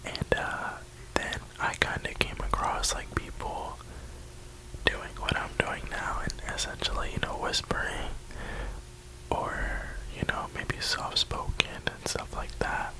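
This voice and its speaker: Young man, early 20s, from the US East Coast, soft rambling whisper, close-miked for ASMR. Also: slow, poor audio. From New York and Florida, USA. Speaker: ASMR creator.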